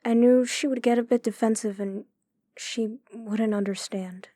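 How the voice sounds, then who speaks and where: An actress in an audio drama playing a gentle, troubled woman who speaks hesitantly and confidingly. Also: a little high, steady tone, measured pace, no room sound, clean studio sound. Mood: sad, confiding. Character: gentle, troubled woman opening up to her brother.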